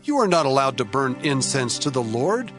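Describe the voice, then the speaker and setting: A man's voice: mid-range voice, sing-song, normal speed, a bit bright. A man playing a brave, stern priest in an audio Bible drama, solemn and admonishing. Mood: stern rebuke. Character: brave, stern male priest rebuking a king. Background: music.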